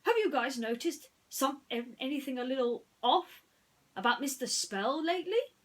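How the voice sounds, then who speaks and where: A woman's voice: high-pitched, expressive, normal speed, pitched above the performer's own voice. A woman voicing an observant, skeptical male toy pig in a children's story, pointed and conspiratorial.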